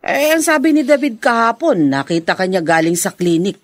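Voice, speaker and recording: A woman's voice: mid-range voice, very animated, a little fast, no echo. A woman playing a concerned, inquisitive older friend in a Filipino radio drama, with a Filipino accent. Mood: concerned, admiring.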